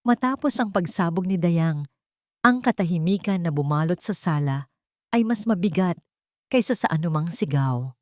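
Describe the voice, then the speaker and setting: A woman's voice: fairly low pitch, lively intonation, moderate pace, no room sound, studio quality. A woman narrator reading a scripted dramatic love story.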